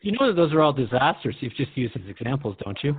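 Earnest man with lively, swinging intonation. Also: medium pitch, fast talker, breathy edge, dry recording, phone-quality sound. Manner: earnest, reflective, questioning. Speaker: radio host. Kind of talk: radio drama dialogue, questioning interviewer.

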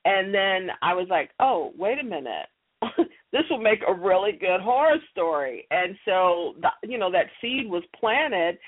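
A woman's voice: mid-range voice, slightly rough. Woman chatting over a tinny phone line. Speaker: interview guest, audio drama producer. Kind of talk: casual conversational storytelling.

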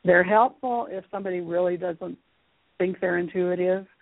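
A woman speaking as a guest teacher and author in a radio interview, explaining things in a measured way. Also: fairly low pitch, fairly even intonation, dry recording. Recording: muffled phone-like radio line.

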